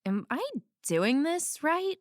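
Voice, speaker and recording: A woman's voice: high-pitched, big pitch swings, slow pace, no echo, studio quality, exaggerated voice. A woman playing a sassy, blunt young cyborg sidekick in an audio drama, talking informally. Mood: curious. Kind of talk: audio drama character acting.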